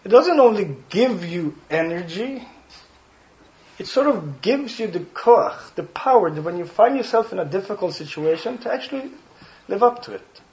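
A rabbi speaking English with a Swedish accent, giving an earnest Torah talk. He explains text phrases one by one, clears his throat often and adds dry jokes. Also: high voice, lively intonation, normal speed, a little mellow, highs cut off. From Sweden. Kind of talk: Torah talk to a study hall.

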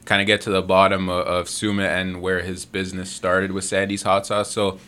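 A low-pitched man hosts a casual sit-down chat. He is laid-back and slangy and calls his guest "bro". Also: little pitch movement, a little fast, bright, forceful tone, clean tone. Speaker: interview host.

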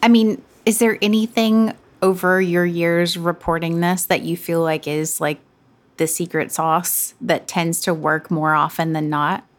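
A woman with a Southern US accent chatting as a podcast colleague, lively and laughing easily. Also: lowish voice, unhurried.

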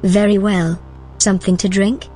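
A woman playing a calm, helpful, gently teasing artificial intelligence in a naturalistic audio drama. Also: mid-range voice, moderate pace, faint music behind, close to an everyday voice, poor audio. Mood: obliging, playful. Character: calm, obliging, playful female artificial intelligence.

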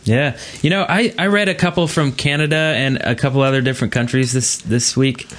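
A man's voice: medium pitch, fairly even intonation, a little fast, fairly bright tone. A man hosting a podcast in a casual, chatty style. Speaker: podcast host.

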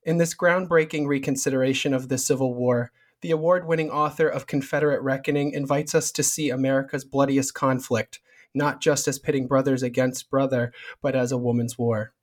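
A man hosts a book podcast in a mid-pitched voice. He reads a long, formal introduction from a script and then asks measured interview questions. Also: fairly even intonation. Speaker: podcast host.

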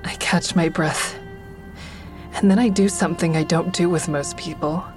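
A woman's voice: fairly low pitch, fairly even intonation, normal speed, music in the background, close to an everyday voice. An actress in an audio drama playing a guarded, self-doubting, caring woman, reflective and hesitant in dialogue and first-person narration. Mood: reflective, sad.

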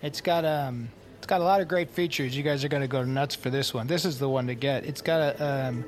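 An actor playing a hapless, easygoing store greeter in a comedy audio drama, talking in a casual, hesitant, rambling way. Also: male voice, mid-range voice, fairly quick, faint music behind, lightly acted. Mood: helpful, salesy. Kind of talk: comedic audio fiction acting.

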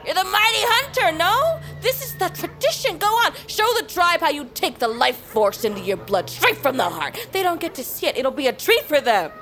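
A defiant young huntress, voiced by a woman, taunting and mocking in a fantasy audio drama. Her high voice swings widely, and music plays clearly behind her. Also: sing-song, moderate pace, hardly any pauses, fairly bright tone, heavily acted. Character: brash defiant young female hunter, taunting and hot-tempered.

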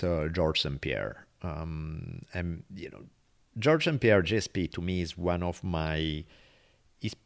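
A man's voice: sits low, gravelly. A man with an Italian accent talking casually and reflectively, in an earnest, emphatic way with some swearing. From Italy.

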